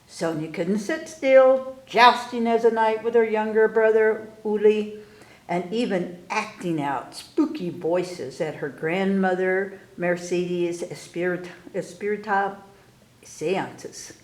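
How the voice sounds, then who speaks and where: A woman hosting a library story time, reading aloud to children with deliberate phrasing. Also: medium pitch. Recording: roomy, echoey indoor sound.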